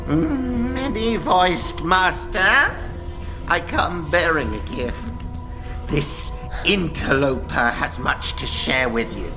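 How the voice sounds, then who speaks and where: A woman playing a sly, snarling servant creature in a fantasy audio drama, slow, gleeful and hissing. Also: low-pitched, lively intonation, full character voice. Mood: fawning glee. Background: music.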